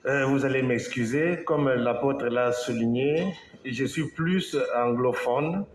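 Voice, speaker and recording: A man from Congo, an engineer, giving a slow, halting talk to an audience, with pauses and restarts. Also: medium pitch. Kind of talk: speech to an audience. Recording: echoey hall, faint background noise.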